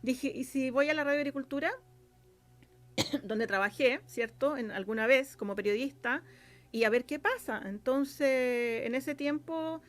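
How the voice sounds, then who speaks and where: A woman's voice: sits high, measured pace. A woman with a Chilean accent speaking as a guest in a casual interview, chatty and rambling with plenty of filler phrases. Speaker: interview guest, radio drama scriptwriter.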